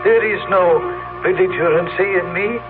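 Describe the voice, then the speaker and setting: Otherworldly male visitor, acted by a man: high voice, calm, stiffly formal, muffled vintage radio recording with music behind. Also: normal speed, natural voice. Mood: calm, measured. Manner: stilted formal diction. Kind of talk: 1970s radio drama acting.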